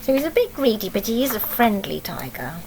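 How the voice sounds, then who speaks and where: A woman's voice: mid-range voice, very animated, brisk, vocal fry, a little mellow, slight background noise, low-quality recording. A woman with an English accent reading a storybook aloud as a teacher, expressively, with explaining asides and questions for young children.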